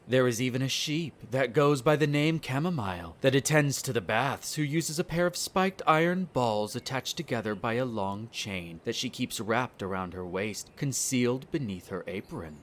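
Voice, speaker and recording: A man's voice: medium pitch, expressive, moderate pace, few pauses, natural voice. A man playing a smug, cruel vampire noble in an audio roleplay, speaking in a haughty, condescending, formal drawl. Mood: haughty, musing. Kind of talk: scripted audio roleplay acting.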